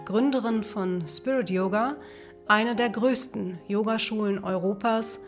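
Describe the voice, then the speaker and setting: A woman hosting an interview podcast, speaking formally and reading a polished introduction. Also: mid-range voice. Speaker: podcast host.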